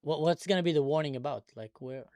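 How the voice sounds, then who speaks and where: A man's voice: on the high side, fast talker, no room sound. Sarcastic male stream host, dry close sound. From Israel. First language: Arabic. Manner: probing, calmly sarcastic questioner. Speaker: livestream host. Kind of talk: live video chat debate.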